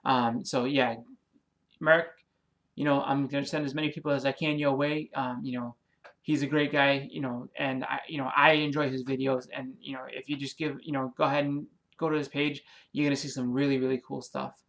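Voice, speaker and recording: A man's voice: medium pitch, flat delivery, brisk, fairly bright tone, rough recording. A man with a Boston accent, rambling through a casual vlog with many ums and you-knows, friendly and apologetic as he thanks new subscribers. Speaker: comic and movie YouTuber.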